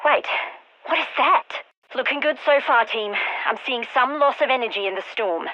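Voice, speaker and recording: An actress playing a determined, guarded research scientist in a video game, with an earnest, clipped delivery. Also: female voice, high voice, moderate pace, bright, forceful tone, no room sound, dull audio. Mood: focused. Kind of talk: scripted game character dialogue.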